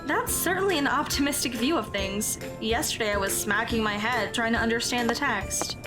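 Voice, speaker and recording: High-voiced, awkward teenage girl played by a woman. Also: moderate pace, music in the background, close to an everyday voice. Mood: guarded. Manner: hesitant, stammering when flustered. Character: awkward, nervous high school girl with a crush. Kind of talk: audio drama acting.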